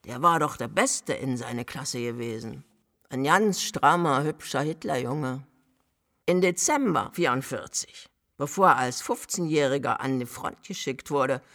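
An old widow from a radio play with a thick Berlin accent. The voice is gravelly and fairly high, full of stubborn pride and duty. She chatters on in homely dialect as she reminisces. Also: animated, a little slow, pushed and bright, heavily acted. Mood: reminiscing, wistful. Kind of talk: radio drama monologue.

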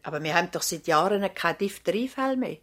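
Composed Swiss practice nurse. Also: female voice, on the low side, lively intonation, fast talker, fairly bright tone. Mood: calm, concerned. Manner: matter-of-fact, reasoning. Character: sensible, composed female doctor's assistant nurse. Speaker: actor playing a nurse. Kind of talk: German-language radio drama acting.

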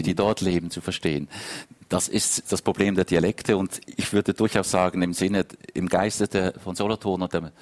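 Low-voiced Swiss male moderator. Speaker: panel moderator. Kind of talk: live literary interview.

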